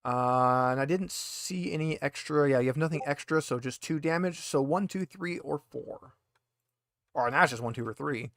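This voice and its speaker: A man with a slightly high voice runs a casual tabletop game as game master. He explains things in a joking, teasing way, and the sound is dry and close. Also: normal speed, a bit bright, no room sound. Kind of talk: casual online tabletop roleplaying session.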